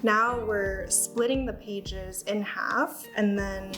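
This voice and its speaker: A woman from Taiwan co-hosting a casual stationery unboxing, calmly walking through planner layouts with fillers. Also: medium pitch, over music. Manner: explanatory, measured walkthrough with fillers. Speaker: stationery shop co-host. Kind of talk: casual product unboxing chat.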